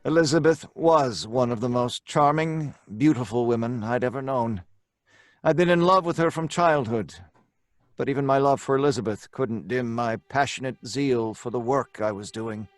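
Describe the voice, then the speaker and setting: A male actor plays an obsessed young man of science in an old-fashioned radio play. His mid-pitched voice is earnest, telling a grim tale. Music plays underneath. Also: normal speed, dry recording, studio quality, close to an everyday voice. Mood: grave, haunted. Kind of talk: old-time radio drama acting with narration.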